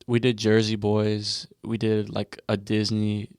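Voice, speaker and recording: A man's voice: on the low side, monotone, a little slow, darkish tone, clear, resonant tone, no room sound, clean studio sound. A laid-back young man with a slight lisp, hosting a podcast in a slangy, jokey way. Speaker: podcast host. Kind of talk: casual podcast chat.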